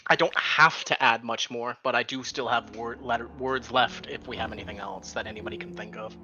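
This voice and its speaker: A man's voice: mid-range voice, fairly even intonation, brisk, sharp tone, muffled recording, faint music behind. A man chatting casually as a player in an online tabletop game, with a dry, joking manner. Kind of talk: casual group chat during an online game.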